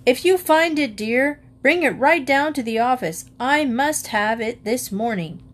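A woman voicing a harried father calling out loudly in a rush. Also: sits high, animated, pitched above the performer's own voice. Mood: hurried. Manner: loud and hurried.

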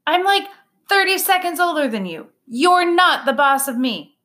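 A woman's voice: very high voice, expressive, pushed and bright, voice pushed up, full character voice. A woman doing a sassy young girl dog. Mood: defiant. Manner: sassy, quick retorts. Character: feisty young female dog, bossy sister.

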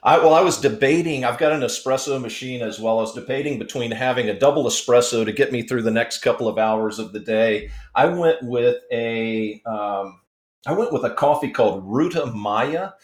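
A man hosting an interview, chatting in a relaxed way with occasional fillers before he asks his questions. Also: medium pitch, normal speed, reverberant. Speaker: interview host. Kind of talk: casual interview talk.

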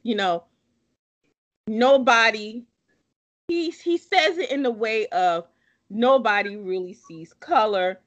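A woman's voice: on the high side, slow, pauses often, lo-fi sound. Woman in a casual book chat, blunt and emphatic, keeps repeating her point. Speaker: book discussion co-host.

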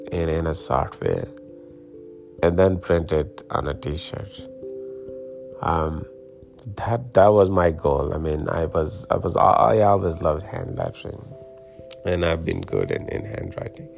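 A middle-aged man from Pakistan talks through a hobby story at an unhurried, halting pace, full of fillers and restarts. His voice sits very low with a gravelly fry. Faint music plays behind him. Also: a little mellow. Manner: slow, hesitant, many fillers and restarts. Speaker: hobbyist sharing his t-shirt printing journey. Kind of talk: casual personal story.

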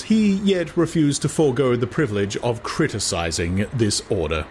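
A man narrating a literary novel as a dramatized audiobook. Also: mid-range voice. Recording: clear background noise.

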